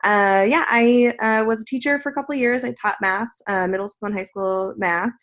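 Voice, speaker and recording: A woman's voice: a little high, brisk, a bit bright. A woman in her thirties raised near Portland, chatting casually with small laughs while introducing herself on a video call. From raised near Portland, Oregon. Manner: chatty, laughs between phrases. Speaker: coding program participant. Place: indoor room.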